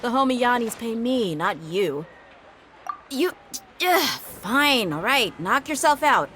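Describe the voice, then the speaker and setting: A woman's voice: on the high side, big pitch swings, takes it slowly, a bit bright, a little hiss or hum, exaggerated voice. An actress playing a blunt, confident hired female bodyguard in a video game, grudging in manner. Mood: gruff, grudging. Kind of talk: video game cutscene acting.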